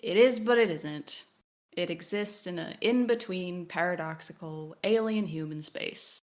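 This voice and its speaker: A woman co-hosting an analytical conversation, phrasing her points in a deliberate, measured, essay-like way. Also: lowish voice. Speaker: podcast co-host.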